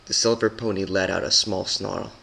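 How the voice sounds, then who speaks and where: A man's voice: low-pitched, fairly even intonation, normal speed, vocal fry, pushed and bright. A man giving a dramatic, scripted reading of a story as its narrator.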